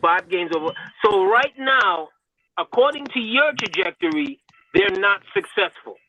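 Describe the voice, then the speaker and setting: A man's voice: sits high, lively intonation, a little slow, highs cut off, slight background noise. A man calling in to a sports debate, pressing his point with challenging questions. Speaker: caller.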